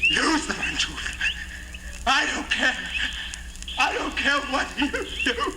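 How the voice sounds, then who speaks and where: A man's voice: extremely high pitch, huge pitch swings, slow pace, background noise, heavily acted. An actor playing a frightened, broken male prisoner, faltering and pleading in anguish, in old-time radio drama style. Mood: terrified, pleading.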